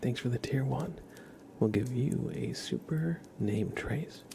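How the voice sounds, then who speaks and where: A soft-spoken man hosting an ASMR livestream, gently chatting with viewers and often slipping into a whisper, close on the mic. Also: medium pitch, takes it slowly, gravelly, a little hiss or hum. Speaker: livestream host. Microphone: close ASMR microphone.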